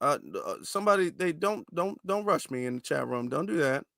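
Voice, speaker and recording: Male livestream host, high and bright, insistent lecturing delivery. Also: animated, fairly quick, gravelly, dry recording, clean studio sound. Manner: emphatic, repetitive, lecturing. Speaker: commentary channel host. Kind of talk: livestream commentary monologue.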